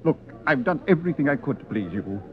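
An actor playing a sad, anxious little theatre producer in a 1950s radio drama, ingratiating and pleading. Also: male voice, mid-range voice, animated, fast, close to an everyday voice. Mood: desperate pleading. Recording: dull 1950s radio recording.

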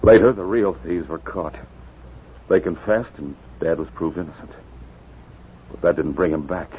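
Casting: man as intense young frontier doctor with a grudge, deep voice, earnest, muffled old radio sound. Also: normal speed, lightly acted. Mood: bitter, resolute. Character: earnest, embittered young doctor avenging his father. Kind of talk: 1950s radio western acting. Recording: muffled, hissy 1950s radio recording.

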